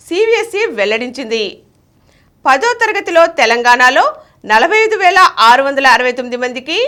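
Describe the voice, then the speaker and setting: High-pitched, lively woman reading the news. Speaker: news reader. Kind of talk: scripted news bulletin reading.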